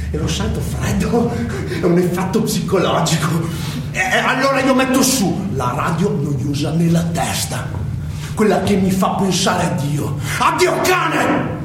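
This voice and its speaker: An actor playing an unhinged, foul-mouthed young delinquent in a stage monologue, halting and repetitive, swinging between muttering and shouting. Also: male voice, sits high, sing-song. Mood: uneasy, brooding. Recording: echoey stage, distant mic, loud music.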